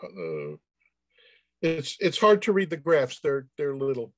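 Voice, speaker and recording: A man on a panel answering questions informally in a halting, hesitant way. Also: medium pitch, huge pitch swings, no echo. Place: indoor room on a video call.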